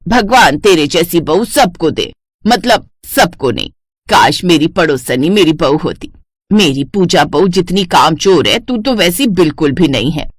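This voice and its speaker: Woman acting nagging older mother-in-law, lower-pitched. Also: expressive, unhurried, breathy edge, no echo, studio quality. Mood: gushing. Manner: complaining, nagging. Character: scolding older mother-in-law, grudging and quick to praise others.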